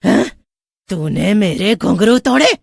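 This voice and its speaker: Casting: female actor for vengeful dancing witch ghost, gravelly, slow and commanding, theatrical horror cartoon delivery. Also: mid-range voice, expressive, dry recording, studio quality, exaggerated voice. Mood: menacing. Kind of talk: dramatic horror cartoon story acting.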